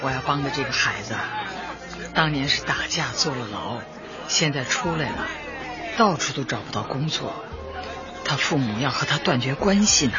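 A woman's voice: very deep voice, animated, normal speed, crowd noise. An actress playing a devoted, kindly older woman who serves as a community secretary, earnest and patient, in a radio drama. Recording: dull band-limited radio drama, music behind.

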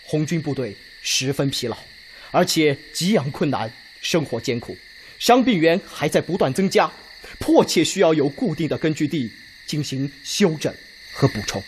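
A methodical Red Army officer played by a man presses his case point by point in a radio drama. He is emphatic, with a fairly high, very varied pitch. Faint noise sits in the background. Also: animated, normal speed, close to an everyday voice. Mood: insistent, methodical. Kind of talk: Chinese radio drama acting.

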